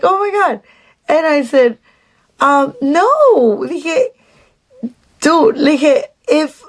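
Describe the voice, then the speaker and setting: Mexican-accented woman vlogger, storytime to camera, raised pitch, unhurried delivery. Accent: Mexican Spanish. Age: adult. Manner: chatty, animated, many restarts and "like"s; slips into Spanish "dije". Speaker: dating vlogger. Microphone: phone camera.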